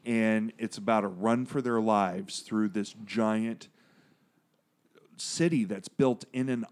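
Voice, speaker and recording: Low-voiced rambling man on a podcast. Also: steady tone, slow, a little mellow. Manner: rambling, digressive, many fillers and restarts; jokey, profane asides. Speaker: podcast co-host. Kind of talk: casual podcast chat about comics.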